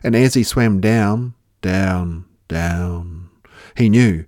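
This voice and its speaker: A man reading a children's story aloud with expressive delivery and drawn-out, dramatic emphasis. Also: low voice, no room sound, clean studio sound.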